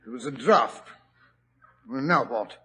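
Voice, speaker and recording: A flustered, blustering doctor. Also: male voice, medium pitch, animated, slow pace, fairly bright tone, husky, heavily acted. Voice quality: blustering, stammering, hearty exclamations. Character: flustered, genial male doctor companion, easily startled. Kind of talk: 1940s radio acting.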